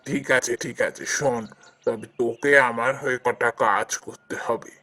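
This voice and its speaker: Bright-voiced kindly old landlord played by a man. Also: mid-range voice, fairly even intonation, moderate pace. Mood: benevolent, earnest. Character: kind, generous old village landlord, father of married daughters. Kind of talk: cartoon fairy tale dialogue.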